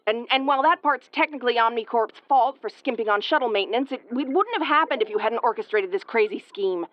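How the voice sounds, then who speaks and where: A woman's voice: fairly high pitch, expressive, fast, lo-fi sound, lightly acted. A woman playing a tough, prickly but secretly caring tsundere starship commander in an intimate audio roleplay, brusque and prone to stammering when flustered. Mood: flustered, angry.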